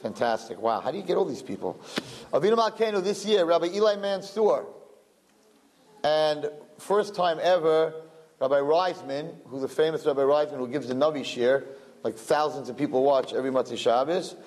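A man's voice: fairly high pitch, moderate pace, darkish tone. A man with a Brooklyn accent hosting a live-streamed event, rambling and joking about himself as he makes announcements. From Brooklyn, USA. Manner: chatty, rambling, self-joking. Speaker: event host.

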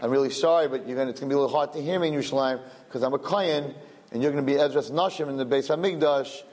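A man's voice: medium pitch, very fast, a little mellow. A man with a Brooklyn accent hosting a live-streamed event, rambling and joking about himself as he makes announcements. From Brooklyn, USA. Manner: chatty, rambling, self-joking. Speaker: event host.

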